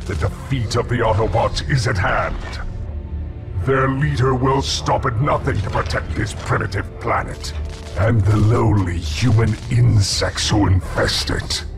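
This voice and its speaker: An actor playing a menacing, contemptuous robot overlord in a game cutscene, gravelly and grandiose. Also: male voice, on the low side. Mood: cold menace. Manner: slow, grandiose, threatening. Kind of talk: video game cutscene acting. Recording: music clearly behind.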